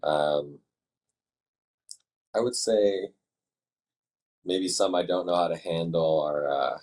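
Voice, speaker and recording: A man working as a fire dispatcher, answering interview questions in a measured, thoughtful way with halting phrasing. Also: low-pitched, a little mellow.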